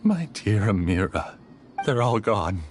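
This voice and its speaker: An actor playing a despairing, embittered, world-weary scholar in a video game, with a grave, fatalistic delivery. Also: male voice, on the low side, very animated, takes it slowly, a little hiss or hum. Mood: grieving.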